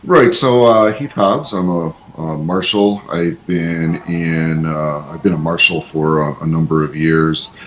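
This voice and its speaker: A man with a low, deep voice speaks as a player in a tabletop game. His casual delivery is halting and hesitant, over faint noise. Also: a bit bright. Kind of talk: casual improvised character introduction.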